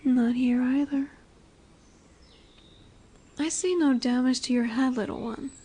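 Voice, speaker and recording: A woman plays a dominant female lamia in a fantasy roleplay audio, high in pitch and unhurried. She is curious and a little condescending, yet nurturing, teasing and soothing as she slips toward breathy whispers. Birdsong and woodland ambience sit behind the voice. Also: fairly even intonation, warm tone, slight background noise. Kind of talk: slow roleplay audio monologue addressed to the listener. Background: birdsong, forest ambience.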